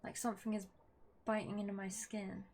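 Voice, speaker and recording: A woman's voice: mid-range voice, little pitch movement, brisk, a little mellow, a little hiss or hum. Young woman doing a chill lets play at home, reads the game text, swears a bit. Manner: chatty and swears casually, reads story text aloud. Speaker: gaming video creator. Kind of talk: casual let's-play commentary, reading game text aloud. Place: at home with her dog.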